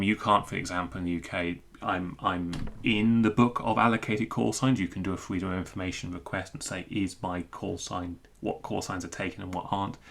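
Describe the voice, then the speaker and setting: A man's voice: deep voice, gravelly, a bit bright. A British man giving an informal, unscripted technical explanation, conversational and digressive as he thinks aloud. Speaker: amateur radio hobbyist and programmer presenting a talk.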